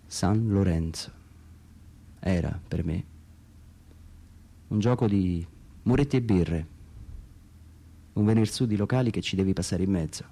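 A man reads his own literary monologue for radio theatre in a measured, reflective way, pausing between short phrases. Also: lowish voice, darkish tone. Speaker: author reading own text.

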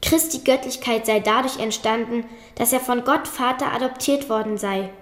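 A woman's voice: a little high, steady tone, normal speed, natural voice. A woman playing an earnest, learned young medieval girl, precise and respectful, in a radio drama. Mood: assured recital. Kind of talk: German radio drama acting. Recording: roomy echo, faint music behind.

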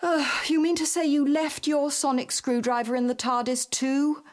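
A woman's voice: high voice, little pitch movement, normal speed, a little mellow, lightly acted. A woman voicing a cool, superior, exasperated female travelling companion in a dramatised audiobook. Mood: exasperated, dry.

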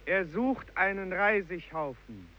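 A man's voice: sits high, animated, measured pace. An actor playing a young fisherman in a 1950s German radio drama, solemnly announcing a march in stark short reports. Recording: muffled, noisy vintage radio recording.

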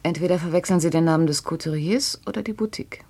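A woman's voice: deep voice, quick pace, darkish tone, breathy edge. A woman playing a formal, courteous boutique saleswoman in a German radio crime drama, with a polished sales manner. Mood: guarded, polite.

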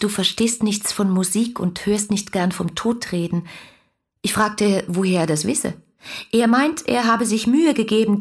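A woman's voice: mid-range voice, breathy edge. An actress playing an ardent, playful female letter writer in a literary radio play, speaking effusively and expressively with a teasing edge.

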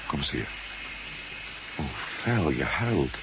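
A man playing a former astronaut hero in a radio play, earnest and expressive. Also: very deep voice, slow, background noise, full character voice. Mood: tender. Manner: earnest, tender in love scene. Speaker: Matt Meldon, former astronaut and reporter. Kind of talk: 1980s radio play acting.

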